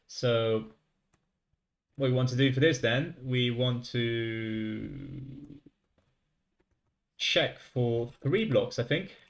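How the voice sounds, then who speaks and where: Young french man thinking aloud on stream. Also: medium pitch. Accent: light French. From France. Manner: thinking aloud, chatting with viewers. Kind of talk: casual coding livestream.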